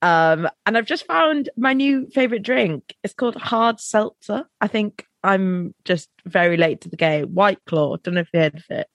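A young woman, co-hosting a casual podcast chat, her voice croaky and tired, laughing and casual. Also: mid-range voice, lively intonation, normal speed, hardly any pauses, no room sound. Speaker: podcast co-host.

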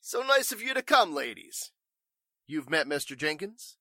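A man playing an irritable, pompous and evasive warehouse boss in 1940s radio style, gruff and stammering. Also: a little high, sing-song, normal speed, pushed and bright, dry recording, clean studio sound, exaggerated voice. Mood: falsely cordial.

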